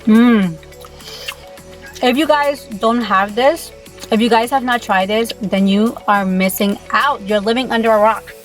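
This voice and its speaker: A woman's voice: fairly high pitch, measured pace, soft background music. A young woman from Peru, chatting casually to her vlog audience while eating and often saying "you guys". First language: Spanish. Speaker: beauty YouTuber. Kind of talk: casual mukbang vlog chat.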